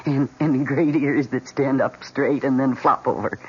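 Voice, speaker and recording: An actress playing a lonely, pleading housewife with a halting, emotional way of talking, in a vintage radio drama. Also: female voice, extremely low pitch, fairly even intonation. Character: lonely, pleading, timid housewife with simmering resentment. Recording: dull, noisy old radio recording.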